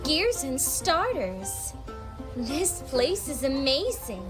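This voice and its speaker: A woman's voice: sits high, big pitch swings, takes it slowly, music in the background, exaggerated voice. A woman voicing a sweet, timid young female robot in a comic dub, speaking hesitantly. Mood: delighted.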